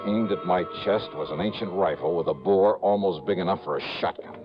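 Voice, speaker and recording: An American actor playing a wry, hard-boiled investigator, narrating in a clipped, dramatic 1950s noir radio style. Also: male voice, deep voice, fairly quick, lightly acted. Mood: tense, wry. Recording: muffled old radio recording, music underneath.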